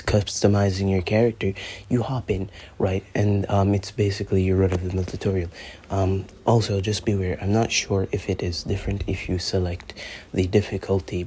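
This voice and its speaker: Low, husky teen gaming YouTuber. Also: male voice, darkish tone. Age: teens. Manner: rambling, profane, joking. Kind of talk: gameplay review commentary.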